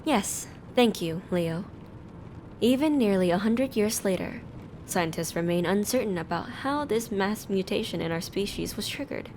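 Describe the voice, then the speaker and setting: A woman's voice: mid-range voice, expressive, normal speed, darkish tone, natural voice. A woman plays a caring but firm schoolteacher in an audio drama. Her mid-range voice is composed and instructive, over faint noise.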